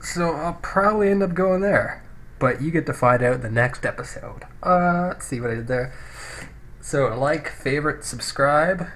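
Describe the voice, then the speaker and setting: A man giving casual, sweary video game commentary as a let's play host, thinking out loud, recorded on a poor-quality microphone. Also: a little high, expressive, moderate pace. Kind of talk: casual gameplay commentary with read-out game text. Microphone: low-quality home microphone. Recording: noisy cheap microphone, poor audio.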